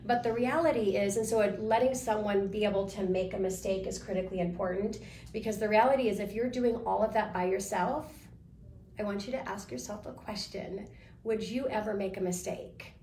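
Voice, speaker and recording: A woman's voice: mid-range voice, a little fast, dark tone. Coaching woman host in an echoey room. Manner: coaching, encouraging, rambling. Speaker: talk show host. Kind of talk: casual interview on a live stream. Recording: echoey room with faint noise.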